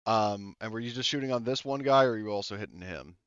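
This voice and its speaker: A low, smooth-voiced man runs a tabletop game, patiently checking each rule and roll with his players. His voice is resonant but comes through dull, lo-fi voice chat audio with a dry sound. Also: quick pace, a bit bright, no echo. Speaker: game master.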